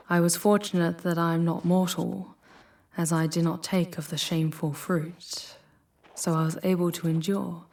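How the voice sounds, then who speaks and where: A woman voicing an ancient, proud, wounded female demon who guides a traveler through hell in an ASMR roleplay, speaking softly and formally in a breathy, solemn, deliberate way. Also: sits low. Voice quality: soft, breathy, husky.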